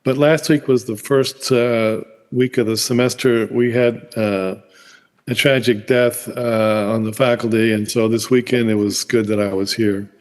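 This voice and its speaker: An older man answers questions from his office over a video call. He speaks in a measured, explanatory way. His voice carries a long reverb. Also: medium pitch, steady tone. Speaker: guest, professor. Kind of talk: interview answers.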